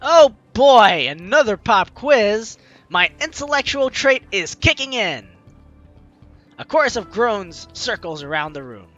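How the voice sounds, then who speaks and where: A man commentates on a game as a let's play host, switching between narration and jokey reactions. His voice is high and very lively, with faint music behind it. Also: measured pace, muffled recording. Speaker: gaming video host.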